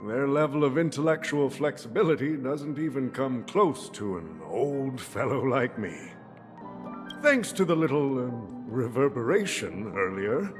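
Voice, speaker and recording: A man's voice: mid-range voice, long reverb tail. An actor playing a shrewd, jovial old family boss in a video game, grandiose and chuckling in his condescension. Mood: gloating. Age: older. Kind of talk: theatrical video game acting.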